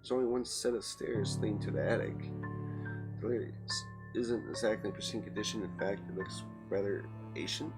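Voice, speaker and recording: A man's voice: lowish voice, fairly even intonation, brisk. A man hosting a let's play, reading story narration aloud with some dramatic emphasis and casual commentary. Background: loud game music.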